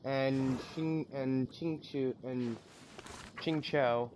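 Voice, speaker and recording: A man's voice: mid-range voice, fairly even intonation, drawn-out words, a little mellow, slight background noise. A man doing casual gameplay commentary as a streamer, thinking aloud and muttering through lists that trail off. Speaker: game streamer. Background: game music.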